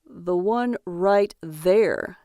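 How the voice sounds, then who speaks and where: A woman acts out a boyish, dim husband in a storybook reading. He is excitable and earnest, boasting and whining in turn, with a creaky edge and a dry, close sound. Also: lowish voice, extremely slow, no echo. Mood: baffled. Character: excitable, childish, dim-witted young husband, male.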